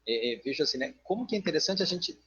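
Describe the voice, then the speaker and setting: A man's voice: on the high side, animated, very fast, a bit bright, slightly rough. A Brazilian man, a teacher, talking casually and digressively in a live online discussion, often adding tag questions. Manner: digressive, frequent "né" tags, joking asides. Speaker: teacher co-hosting a live stream. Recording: dull online call audio.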